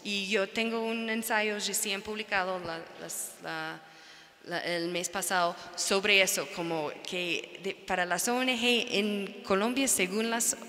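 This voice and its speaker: A woman whose first language is English, speaking as a panelist in an academic talk, halting and searching for words. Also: medium pitch, steady tone, bright, forceful tone. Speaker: panelist, NGO researcher. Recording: reverberant lecture hall.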